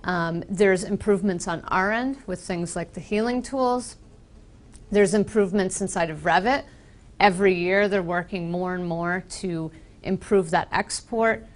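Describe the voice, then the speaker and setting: A woman from Boston with an American accent, giving a casual, explanatory software presentation with frequent ums. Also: on the low side, a little slow. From Boston, United States. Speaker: software trainer. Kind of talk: conference presentation.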